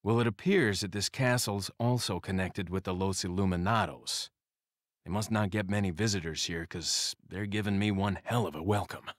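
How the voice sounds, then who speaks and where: An American actor playing a cool young action hero in a video game, dry, terse and sardonic. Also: male voice, sits low, moderate pace, no room sound, natural voice. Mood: wry. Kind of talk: video game drama acting.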